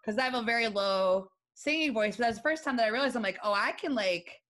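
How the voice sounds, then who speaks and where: A woman's voice: on the high side, animated, quick pace, a bit bright. A woman in her thirties, a musician guest chatting casually on a podcast, talkative, laughing often and full of fillers. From western New York. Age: 30s.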